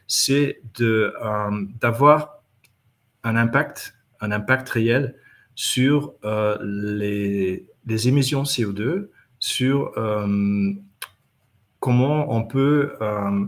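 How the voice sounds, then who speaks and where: Non-native man, trade-show guest, slow halting delivery, lowish voice. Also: a bit bright. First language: not French. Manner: halting, many fillers and restarts. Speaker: company country manager, guest.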